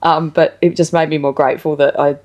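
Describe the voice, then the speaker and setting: A woman's voice: deep voice, flat delivery, brisk, a little mellow, dull audio. A woman chats as the host of a casual interview. Her phrasing is tentative and rambling, circling her point as she talks. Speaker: interview show host, musician. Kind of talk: casual chat.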